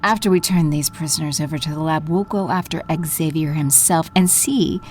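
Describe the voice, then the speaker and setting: A woman's voice: low-pitched, moderate pace, soft background music, close to an everyday voice. A woman playing a cold, commanding villainess in an audio drama, imperious and coolly menacing in her delivery. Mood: cold, calculating. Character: cold, commanding villainess, telepathic leader of henchmen.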